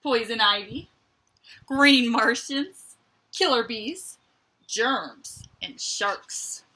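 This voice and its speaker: A woman reading a picture book aloud to children like a teacher, with expressive emphasis and chatty asides. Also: on the high side, drawn-out words, low-quality recording. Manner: expressive, dramatic emphasis, conversational asides to young listeners.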